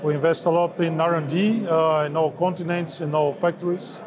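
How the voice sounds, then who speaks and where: A man in his forties speaking haltingly with many fillers, interviewed at a trade fair on a lapel mic. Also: on the high side, steady tone. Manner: halting, many filler sounds, slightly non-native phrasing. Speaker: sales head of an ink and coatings firm. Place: busy trade fair hall, indoors. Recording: crowd noise of a trade fair. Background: crowd chatter.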